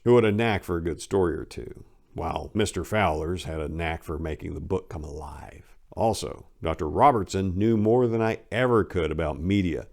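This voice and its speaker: A man's voice: low-pitched, creaky, darkish tone. An American man giving a dramatic reading of a comic first-person memoir in a wry, self-deprecating, conversational way. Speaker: memoir narrator.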